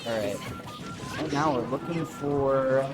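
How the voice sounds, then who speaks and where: A man doing casual live play-by-play as an esports commentator, slangy and excitable. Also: medium pitch, slow. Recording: game music behind the voice.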